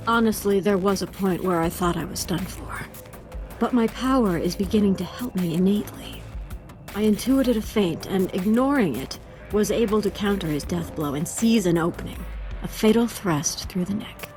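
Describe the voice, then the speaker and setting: A woman plays a young dark-side apprentice in a space-fantasy game. Her mid-range voice is composed and earnest, devoted yet quietly murderous. Light music plays underneath. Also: mid-range voice, moderate pace, lightly acted. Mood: grim satisfaction. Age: young adult. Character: devoted, bloodthirsty young female Sith apprentice. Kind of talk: video game voice acting.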